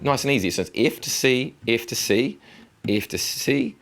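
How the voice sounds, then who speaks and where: A man in his thirties with a low baritone voice, casually teaching chords in a studio guitar lesson. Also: moderate pace. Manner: friendly, explains chords while strumming and singing snippets. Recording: acoustic guitar playing under voice.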